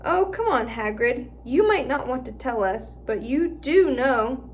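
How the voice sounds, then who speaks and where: A woman reading aloud and voicing a clever schoolgirl witch who speaks in a warm, flattering way. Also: sits high, unhurried, slight background noise. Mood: coaxing.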